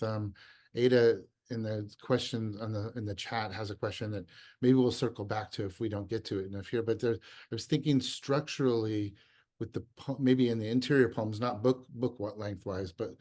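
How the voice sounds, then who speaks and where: Male interviewer, middle pitch, halting and searching for words; video-call conversation. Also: darkish tone. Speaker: poet, interviewer. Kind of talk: conversational questions. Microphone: computer mic on a video call.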